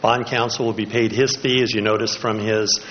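Low-voiced man answering haltingly, muffled noisy recording. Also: level tone. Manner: halting, often repeating words. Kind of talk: informal spoken answers at a public meeting.

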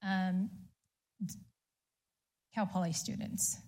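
A woman at a debate, explaining voter rules firmly, step by step. She puts the odd filler in but keeps a steady, sure manner. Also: medium pitch, extremely slow, vocal fry. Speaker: county election official and candidate. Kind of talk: debate answer.

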